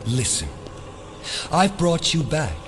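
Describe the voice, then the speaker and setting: An actor playing a cold, vengeful mastermind back from victimhood, speaking in deliberate, theatrical oratory in an audio drama. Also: male voice, fairly high pitch, very animated, a bit bright, slight background noise, exaggerated voice. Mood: cold contempt. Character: vengeful resurrected former victim, cold menacing male mastermind.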